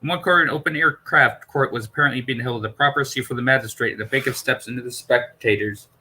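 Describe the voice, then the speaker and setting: A man's voice: medium pitch, fast, sharp tone, husky, rough recording. A man reading a science fiction novel aloud as an amateur narrator, stumbling over words and repeating phrases. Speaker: amateur audiobook reader.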